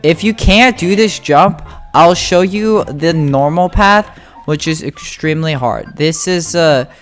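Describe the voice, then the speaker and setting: A casual gameplay guide host giving tutorial commentary in an informal, halting way, thinking aloud. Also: mid-range voice. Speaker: game guide video host. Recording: music behind the voice. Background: music.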